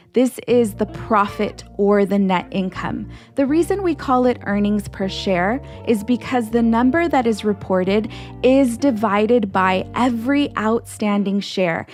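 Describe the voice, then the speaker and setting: A woman's voice: mid-range voice, darkish tone. Woman podcast host explaining, music behind. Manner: instructional, explains terms step by step. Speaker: podcast host, financial educator. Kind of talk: explanatory podcast narration with direct address to listeners.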